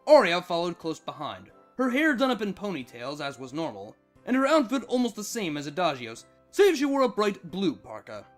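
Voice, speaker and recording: A narrator reading fan fiction aloud in an expressive scripted storytelling style. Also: medium pitch, normal speed, hardly any pauses, soft background music.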